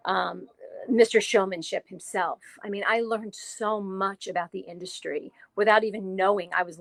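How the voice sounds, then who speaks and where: A woman in her forties from New Jersey, a guest author telling her life story in a casual interview, chatty and animated, laughing often. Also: medium pitch, gravelly, no room sound. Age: 40s.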